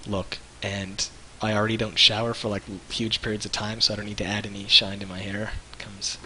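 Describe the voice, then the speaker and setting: A man's voice: fairly low pitch, level tone, fairly quick, sharp tone, slight background noise, low-quality recording. A man co-hosting a live stream in casual, jokey chat, rambling and searching for words. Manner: rambling, loose, jokey, hesitant.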